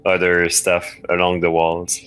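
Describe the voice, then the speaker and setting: A man's voice: sits low, monotone, unhurried, fairly bright tone, smooth. A man chatting casually as a game player, thinking aloud and tentatively suggesting his next moves. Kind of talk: casual chat, talking through game moves.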